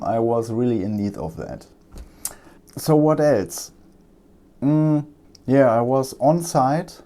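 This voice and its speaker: A man's voice: medium pitch, mellow. A German man with a German accent, talking casually through a laptop repair, with frequent ums and uhs as he works at his bench. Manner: halting, many ums and uhs. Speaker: repair technician. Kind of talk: casual repair vlog talk.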